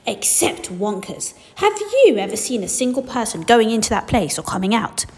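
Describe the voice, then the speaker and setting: A woman's voice: mid-range voice, lively intonation, moderate pace, in a large hall, lightly acted. A woman voicing a mysterious old grandfather who tells secrets with dramatic emphasis in a children's storybook. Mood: mysterious, emphatic. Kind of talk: children's storybook read-aloud.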